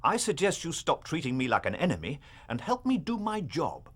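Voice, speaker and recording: An actor with an English accent playing a stern, dogged Victorian police inspector in a radio drama, firm and impatient. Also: male voice, a little high, wildly swooping pitch, a little fast, breathy edge, heavily acted. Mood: politely insistent. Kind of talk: period radio drama dialogue.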